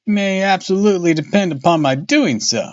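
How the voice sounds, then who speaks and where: A man's voice: high voice, a little fast, dry recording, highs cut off, close to an everyday voice. A man voicing a polite, cautious and earnest young engineer in a detective story.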